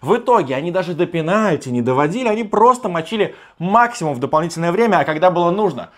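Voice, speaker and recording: A man in his thirties hosting a football show from a small room, his voice strained and partly hoarse, talking emphatically with mock-enthusiastic asides. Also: high voice, lively intonation, fast talker. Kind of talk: animated football commentary monologue. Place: small room with sofa, indoors.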